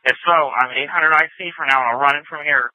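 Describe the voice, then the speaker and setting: Male incident commander, orderly decisive delivery, lightly raised pitch, dry radio channel. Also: fast talker, dry recording. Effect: police radio. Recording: phone-like police scanner radio audio.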